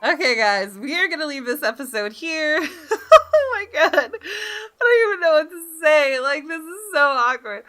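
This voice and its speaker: A woman's voice: high-pitched, animated, normal speed, bright, forceful tone. A woman hosting a visual novel playthrough, reading aloud and reacting with giggles and exclamations. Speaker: gaming video host. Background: guitar game music.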